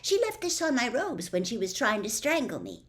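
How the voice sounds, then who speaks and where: A woman voicing a clever, bossy schoolgirl witch from a storybook, brisk and matter-of-fact. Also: a little high, wildly swooping pitch, voice pushed up. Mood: bright, assured.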